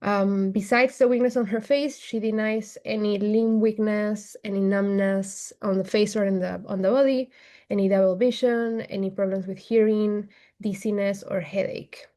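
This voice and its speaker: A woman from Peru, a doctor applying to neurology, presenting a clinical case in a measured, methodical way over a video call. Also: medium pitch, fairly even intonation, dark tone.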